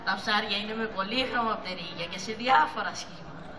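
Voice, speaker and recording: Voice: medium pitch, pushed and bright, close to an everyday voice. Young teen playing a deceitful dogfish, distant and echoey. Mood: coaxing. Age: young teenager. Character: deceitful male dogfish posing as a friend. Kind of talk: amateur school puppet theatre acting. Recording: distant, echoey hall with audience noise.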